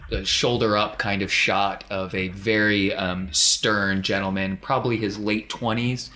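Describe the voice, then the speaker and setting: A man's voice: on the low side, little pitch movement, unhurried, sharp tone. A man narrating a tabletop role-playing game as game master.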